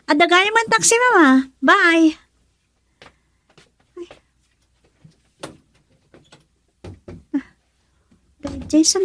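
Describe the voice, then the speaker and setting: A woman's voice: high-pitched, no echo, studio quality. A woman playing a chatty, girlish young schoolgirl daughter in a radio drama, with a Filipino accent. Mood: hurried, chatty. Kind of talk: Ilocano radio drama acting.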